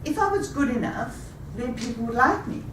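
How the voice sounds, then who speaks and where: Woman, fifties, rehearsed personal story, unhurried, recorded far off in a roomy hall with audible background noise. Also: on the high side, lively intonation. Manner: measured, deliberate delivery. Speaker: speaker. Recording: echoey room, taken from a distance.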